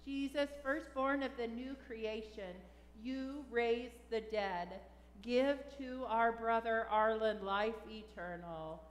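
A middle-aged woman speaking as a pastor, leading liturgical prayer in a measured, solemn way in a church. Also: fairly high pitch. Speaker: pastor leading a funeral service. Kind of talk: liturgical prayer and creed recitation. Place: large church sanctuary, at the altar. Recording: echoey church hall with long reverb.

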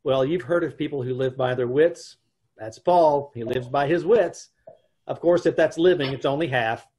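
A man's voice: medium pitch, moderate pace, darkish tone, slightly rough, lightly acted. A middle-aged man playing a wry, exasperated husband who wisecracks about his troubles in an old-time radio comedy. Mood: wry, narrating. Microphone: video call.

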